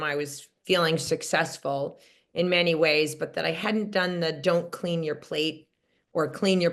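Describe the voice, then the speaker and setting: A woman teacher sharing a story on a group coaching call, chatty and wry, with frequent fillers. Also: deep voice. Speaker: caller, teacher.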